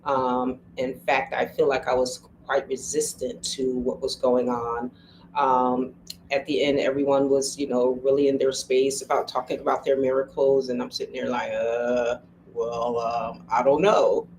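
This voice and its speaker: A woman from Philadelphia answering interview questions, reflective and hesitant, often pausing with ums. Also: extremely low pitch, flat delivery, a little mellow, slight background noise, low-quality recording. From Philadelphia, USA. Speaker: interview guest.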